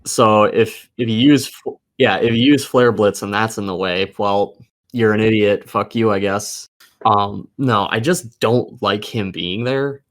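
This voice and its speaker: A man's voice: on the low side, steady tone, measured pace. A man co-hosting a casual gaming podcast, talking bluntly and cursing heavily.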